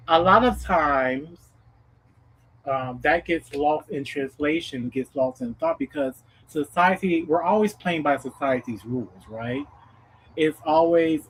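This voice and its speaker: Brief: man in his mid-thirties, livestream host, a bit high-pitched, reflective and heartfelt. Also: fairly bright tone, slight background noise, rough recording. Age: 30s. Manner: reflective, earnest, rhetorical "okay?" check-ins. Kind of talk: casual livestream self-help talk.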